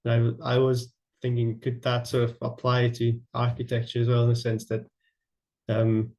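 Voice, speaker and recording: A young man from Latvia presenting his architecture project, halting with many fillers and restarts. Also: medium pitch, monotone, darkish tone. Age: young adult. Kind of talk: online presentation.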